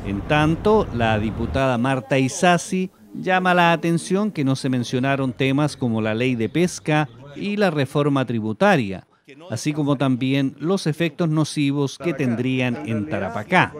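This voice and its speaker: A man with a Chilean accent narrating a regional television news report in a measured, scripted way. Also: medium pitch, expressive. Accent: Chilean Spanish.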